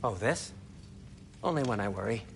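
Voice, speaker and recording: Animated, wry dwarf craftsman. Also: on the low side, moderate pace, creaky, a little hiss or hum. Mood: wry, sarcastic. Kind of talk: video game character acting.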